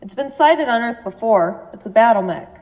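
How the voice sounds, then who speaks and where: A woman playing a calm middle-aged ghost mother in an audio drama, measured, explanatory and dry. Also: a little high, dull audio, natural voice. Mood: matter-of-fact.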